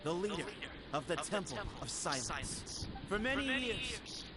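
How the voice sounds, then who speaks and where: A man's voice: high voice, big pitch swings, measured pace, noisy background. A man playing a confident, determined young leader in an anime video game, speaking dramatically. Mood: solemn, resolute. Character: cocky, determined young male leader of a desert order. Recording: loud game ambience behind.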